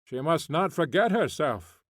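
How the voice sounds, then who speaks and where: A man's voice: fairly high pitch, big pitch swings, unhurried, fairly bright tone, dry recording, clean studio sound, voice pushed up, full character voice. A male reader voicing a stern prison jailer who scolds a prisoner. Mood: scolding.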